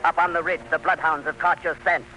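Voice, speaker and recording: High, forceful male radio teaser announcer. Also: flat delivery, a little fast, highs cut off, slight background noise. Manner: ominous, emphatic. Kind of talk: dramatic old-time radio teaser narration.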